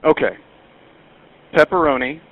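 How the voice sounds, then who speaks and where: A man's voice: on the high side, a little slow. A man working the phone at a pizza shop, giving brief customer service replies over a dull line. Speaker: pizza shop employee taking calls.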